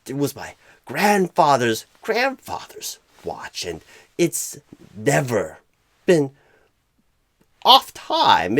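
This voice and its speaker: Man playing a flustered, halting, husky recruiter. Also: mid-range voice, exaggerated voice. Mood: rambling, earnest. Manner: halting, stammering, repetitive. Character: flustered, clueless, absent-minded male job recruiter, apologetic and rambling. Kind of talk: ASMR comedy roleplay, celebrity-style impression.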